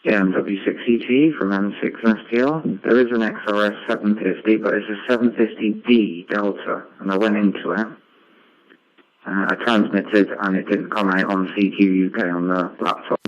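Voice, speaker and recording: A man's voice: on the low side, moderate pace. A man chatting as an amateur radio operator over a digital radio link, explaining technical details in a matter-of-fact way. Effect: digital ham radio transmission. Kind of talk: casual radio contact chat, technical. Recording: dull, band-limited amateur radio audio.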